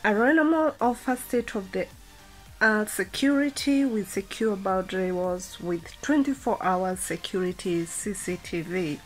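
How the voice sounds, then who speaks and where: A woman's voice: medium pitch, a little slow, soft background music, low-quality recording. A young adult woman narrating a walk through a shopping mall. She reads out facts in a listing cadence, then chats casually with a few fillers. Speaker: vlogger.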